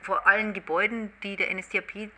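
Casting: female author in casual interview, very bright forceful voice, slight background noise. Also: medium pitch, level tone, fast talker. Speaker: author commenting on her research. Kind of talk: casual explanatory interview talk.

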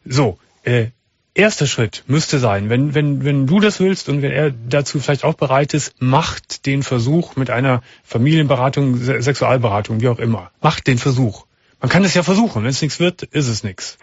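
Guy hosting a late night call-in radio show, husky, kinda muffled sound, talks straight. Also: medium pitch, moderate pace, no room sound. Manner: direct, calm, advising with many restarts. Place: radio studio.

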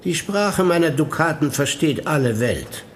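An actor playing a bored, sardonic aristocratic prince in a radio play. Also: male voice, a little high, expressive, measured pace, slight background noise. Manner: dry, sardonic, aloof.

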